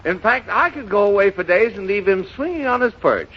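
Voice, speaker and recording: A man's voice: high-pitched, lively intonation, fairly quick. An actor playing a fussy, boastful man who spins absurd tall tales in 1930s radio comedy, fluttering and sputtering through a noisy, muffled recording. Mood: wistful, boastful. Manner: fluttery, sputtering, self-important storytelling.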